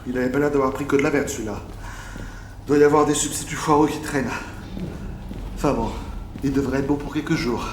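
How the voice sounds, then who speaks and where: A man playing a practical, curt worker in an underwater colony, speaking briskly and matter-of-factly. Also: mid-range voice, steady tone, echoey room, noisy background, lightly acted.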